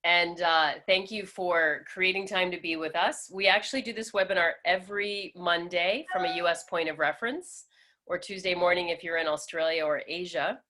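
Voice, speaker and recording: A woman's voice: fairly low pitch, fairly even intonation, moderate pace, sharp tone. A woman from Florida hosting a webinar over a video call, upbeat and welcoming. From Florida, USA. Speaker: webinar host.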